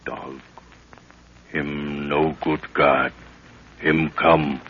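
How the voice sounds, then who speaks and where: Loyal native guide in a radio play, rock-bottom voice, slow broken english, muffled noisy old recording. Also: male voice, extremely low pitch, flat delivery, fairly bright tone, heavily acted. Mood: stoic, watchful. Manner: broken pidgin English, short clipped phrases. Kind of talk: 1930s radio acting.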